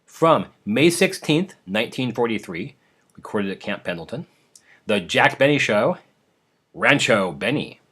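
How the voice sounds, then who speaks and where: A man's voice: fairly low pitch, lively intonation, unhurried, a bit bright, husky. A man hosting a podcast, introducing old radio programs in an informal, prepared style. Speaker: podcast host.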